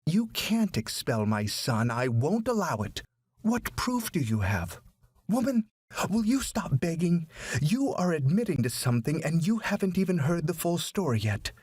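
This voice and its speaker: An arrogant father in a storybook, voiced by a male reader. He speaks loudly and indignantly, slightly raised in pitch, with a husky edge and an impatient, insulting manner. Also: no room sound.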